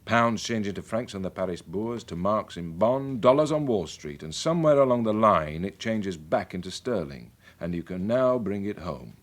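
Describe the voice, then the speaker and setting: An actor playing a worldly, middle-aged British police chief in a radio drama, measured and wry as he explains things. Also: lowish voice, animated, lightly acted. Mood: measured, emphatic. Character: worldly, wry senior police chief briefing his sergeant.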